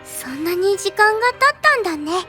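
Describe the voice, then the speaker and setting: A woman voicing a small, gentle, ancient fairy-like creature who speaks in a childlike, storytelling way in a fantasy voice drama. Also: big pitch swings, moderate pace, faint music behind, heavily acted. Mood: gentle, wistful. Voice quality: very high, childlike.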